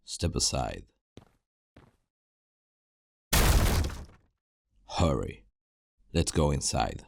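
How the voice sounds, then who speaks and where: A man playing a gruff, world-weary zombie apocalypse survivor in an ASMR roleplay, guarded and urgent, terse and hushed, with a gravelly voice. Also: very deep voice, sing-song, a little slow, heavy background noise, heavily acted. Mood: tense, urgent. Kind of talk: ASMR roleplay acting, addressing the listener.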